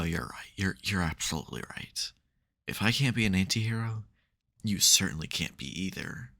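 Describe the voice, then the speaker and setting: A man playing an exasperated but secretly caring supervillain in an intimate ASMR audio roleplay, wry and gravelly. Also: low-pitched, sharp tone, full character voice.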